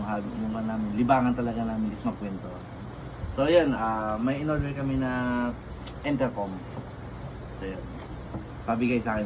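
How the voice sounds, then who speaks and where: A man chatting casually through an unboxing vlog, laughing often and filling gaps with "ah". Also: mid-range voice. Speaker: moto vlogger. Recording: noisy, vehicle sounds behind.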